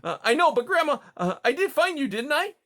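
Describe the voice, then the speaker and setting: A man voicing a frightened, excitable young boy mouse who stammers and asks eager questions. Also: very high-pitched, brisk, pitched above the performer's own voice, full character voice. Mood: excited.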